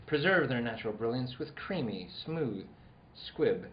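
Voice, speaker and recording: A man's voice: mid-range voice, animated, a little slow, echoey room, dull audio, a little hiss or hum, lightly acted. A man playing a polished, upbeat old-time radio announcer reading scripted copy with grand, salesman-like emphasis.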